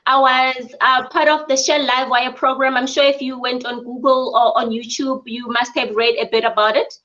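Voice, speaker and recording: Woman from South Africa with a high voice, speaking earnestly and at a measured pace, recorded on dull lo-fi audio. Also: little pitch movement, fairly bright tone. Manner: measured, earnest, with frequent ums. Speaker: spa business owner. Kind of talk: interview answers. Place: her mother's home.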